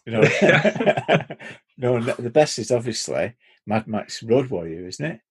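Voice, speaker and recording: A man's voice: mid-range voice, very animated, measured pace, breathy edge. A middle-aged man chatting playfully in casual talk. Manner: laughing, playful. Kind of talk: casual chat.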